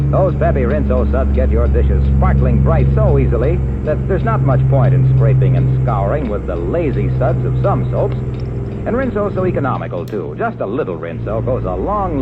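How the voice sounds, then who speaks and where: Lively 1940s radio announcer man. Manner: polished sales pitch. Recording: tinny old radio, loud car noise.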